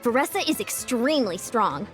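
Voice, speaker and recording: A woman's voice: fairly high pitch, very animated, normal speed, soft background music. An actress playing an upbeat young female fitness coach in a video game, confident, encouraging and instructive. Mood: explaining.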